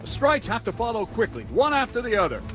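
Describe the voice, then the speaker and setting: A stern swordmaster, animated higher voice. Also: male voice, quick pace, soft background music, full character voice. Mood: instructive, measured. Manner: commanding, blunt, didactic. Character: stern, demanding medieval swordmaster drilling a pupil. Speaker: combat trainer. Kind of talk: video game dialogue, instructional.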